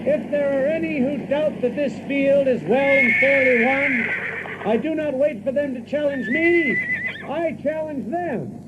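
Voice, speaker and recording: An actor playing a boastful, excitable Yankee adventurer who tells his own tale theatrically in an audiobook drama. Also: extremely high pitch, measured pace, very noisy. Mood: defiant challenge. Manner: theatrical, animated, with chuckles. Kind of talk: dramatized audiobook first-person narration. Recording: dull lo-fi audio with loud music.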